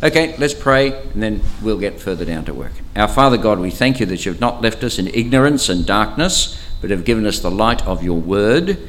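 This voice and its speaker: Older Australian clergyman with a low, powerful voice and a relaxed, teacherly delivery full of small hesitations, with a faint hum behind him. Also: normal speed, few pauses. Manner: chatty, teacherly, frequent hesitations. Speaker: archbishop leading a Bible study. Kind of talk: interactive Bible study talk. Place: church camp meeting room. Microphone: microphone, sometimes moves away from it.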